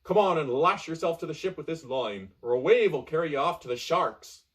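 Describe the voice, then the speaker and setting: Pirate with a red beard, rowdy and loud, a bit higher than the reader's own voice, put on by a man reading aloud. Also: expressive, a little fast, close to an everyday voice. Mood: blustery. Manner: loud, blustery pirate talk. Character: fierce red-bearded male pirate, boisterous.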